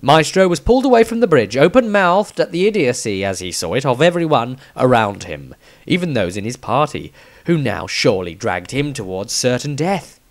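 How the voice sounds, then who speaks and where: A man reading his own fantasy story as scripted narration, with dramatic, deliberate phrasing. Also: mid-range voice, very animated.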